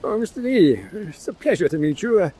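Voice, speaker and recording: A man's voice: high-pitched, big pitch swings, fairly quick, very noisy. A man playing a courteous, dithering senior British admiral in a radio drama, genteel and hesitant. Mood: genial, flustered. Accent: British English.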